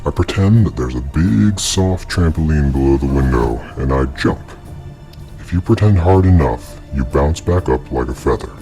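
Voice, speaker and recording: A man's voice: very deep voice, animated, normal speed, mellow, soft background music, voice pushed down. A man voicing a small, sinister creature who poses as a child's friend in a horror story, secretive, coaxing and insistent.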